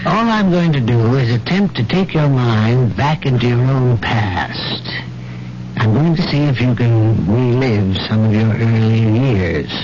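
A man playing a calm, authoritative hypnotist doctor in a 1970s radio drama, speaking in a deliberate, soothing, coaxing way. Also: medium pitch, a little hiss or hum, lightly acted. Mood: calm, reassuring. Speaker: actor in a radio mystery drama. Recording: muffled vintage radio broadcast. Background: dramatic background music.

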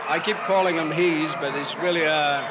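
A man working as an animal shelter attendant, explaining things informally in a halting, self-correcting way. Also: on the high side, lo-fi sound. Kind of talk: unscripted explanation to a visitor. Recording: noisy 1950s portable tape on location.

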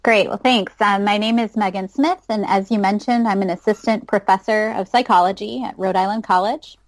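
A woman's voice: mid-range voice, steady tone, a little fast, no room sound. An American woman in her thirties giving casual answers as an interview guest. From United States, north of Chicago. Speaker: guest, psychology professor.

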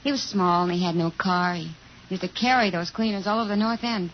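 A woman's voice: lowish voice, fairly even intonation, a little fast, lightly acted. A woman playing a jaded, worldly restaurant hostess in a 1950s radio drama, wry and matter-of-fact. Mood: wry, wistful. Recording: dull, faintly noisy 1950s radio recording.

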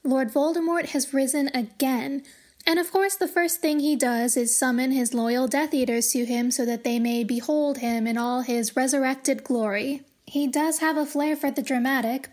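A woman hosting a podcast, talking through literary analysis in an explanatory way with wry, casual asides. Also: high voice. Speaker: podcast host.

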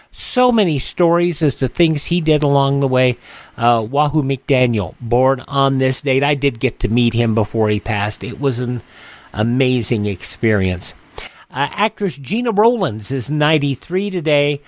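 A man hosting a radio show, announcing informally in a measured way with pauses between items. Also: mid-range voice, dry recording.

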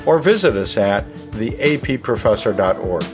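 Chatty man with lively, swooping intonation. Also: fairly low pitch, moderate pace, music in the background. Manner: chatty, informal asides with rhetorical questions. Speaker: podcast host; anatomy and physiology teacher. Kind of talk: conversational podcast monologue, storytelling.

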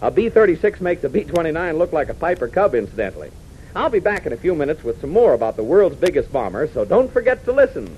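A man's voice: a little high, very animated, fast talker. An American man announcing and narrating a scripted 1940s radio adventure serial. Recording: muffled, noisy 1940s radio recording.

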